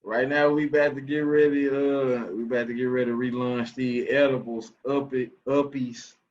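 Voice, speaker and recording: A man's voice: medium pitch, level tone, normal speed. A man in his twenties with a Memphis Southern accent, chatting casually and supportively as a podcast guest over a call. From Memphis, United States. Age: 20s. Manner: agreeable, supportive, slangy. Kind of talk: casual chat. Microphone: remote call. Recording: dull lo-fi call audio.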